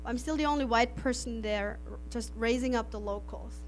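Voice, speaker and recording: A woman's voice: on the high side, moderate pace, a little hiss or hum. A middle-aged woman from Austria, a native German speaker, giving an earnest missionary testimony with frequent laughs and restarted phrases. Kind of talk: testimony to an audience.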